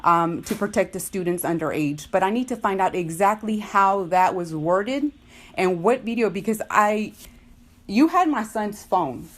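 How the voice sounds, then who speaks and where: A woman's voice: mid-range voice, expressive, unhurried. Insistent middle-aged woman pressing a complaint. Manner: insistent, firm, repeats herself. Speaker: parent making a complaint. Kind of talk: tense phone conversation, disputing.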